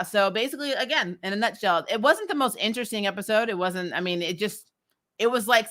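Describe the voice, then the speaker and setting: Casual female recap host, very bright forward voice, animated and chatty delivery. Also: on the low side. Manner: animated, laughs often while talking. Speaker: host of a TV show recap series. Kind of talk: casual, chatty episode review.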